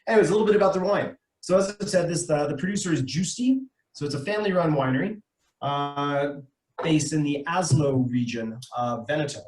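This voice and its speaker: A man's voice: a little high, brisk, darkish tone. A middle-aged man with a Canadian accent gives an informal, explanatory presentation as a wine importer, speaking conversationally with some hesitation over a video call. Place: indoor room, online video meeting. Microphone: video call microphone. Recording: echoey room on a video call.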